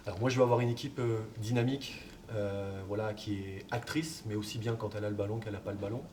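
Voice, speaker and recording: Coach guy talking low, lots of euhs, kinda far from the mic with noise behind. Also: steady tone, fast talker, a little mellow. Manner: hesitant, many fillers. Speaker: football coach. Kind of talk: casual interview answers. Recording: distant mic, background noise.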